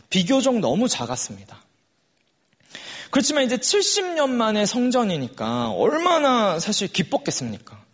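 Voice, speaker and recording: Man, forty, sermon, conversational, rhetorical questions, animated melody, fairly high voice. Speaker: preacher.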